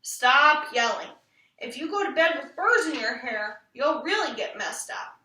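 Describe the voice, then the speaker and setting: A woman's voice: high-pitched, animated, measured pace, bright, forceful tone, reverberant, distant mic, heavily acted, low-quality recording. A woman voicing a bossy, sensible older sister in a children's story, matter-of-fact and full of advice. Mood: scolding.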